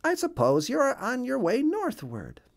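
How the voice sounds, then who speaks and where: A man's voice: very high voice, wildly swooping pitch, moderate pace, gravelly, voice pushed up, heavily acted. A man voicing a friendly, polite female mallard duck who quacks her greetings in a children's storybook. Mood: friendly, curious.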